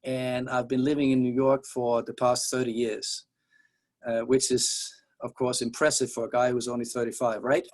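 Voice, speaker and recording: A man's voice: medium pitch, little pitch movement, moderate pace. A middle-aged man from Denmark with a Danish accent, giving a college music lecture in a discursive, wry manner with self-deprecating jokes. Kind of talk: opening class lecture.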